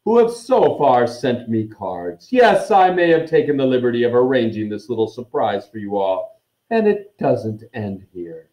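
A man's voice: on the high side, expressive, moderate pace, voice pushed up, natural voice. A man voicing a vain, beaming male wizard professor in a storybook, with grandiose, gushing speeches, self-delighted and showy. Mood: grandly pleased. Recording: echoey room, dull muffled sound.

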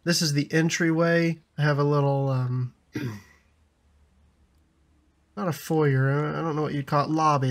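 A man in his thirties with a slightly raspy voice from a lingering cough, chatting casually over a building game and laughing often. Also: fairly high pitch. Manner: chatty, laughs often, frequent ums and restarts. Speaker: gaming YouTuber. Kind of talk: casual gameplay commentary.

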